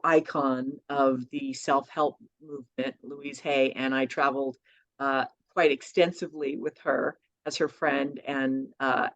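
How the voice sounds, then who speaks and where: A woman author as a podcast guest, telling stories in a lively way with many fillers. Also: extremely low pitch. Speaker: children's book author and guest. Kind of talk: conversational podcast interview. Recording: dull lo-fi audio.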